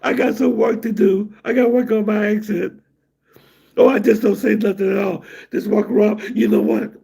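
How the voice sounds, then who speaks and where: A man's voice: high-pitched, steady tone, normal speed, vocal fry, dark tone, husky. A middle-aged man from the United States hosting a casual livestream and rambling loosely to his viewers. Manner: loose, rambling, laughs at his own jokes. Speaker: livestream host.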